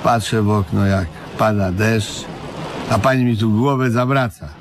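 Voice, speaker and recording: An actor playing a dim, stubborn, literal-minded man in a comedic radio play, halting and deadpan. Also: on the low side, lightly acted. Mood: smug, contrary. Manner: halting, deliberate, obtuse deadpan. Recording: noisy old radio play recording.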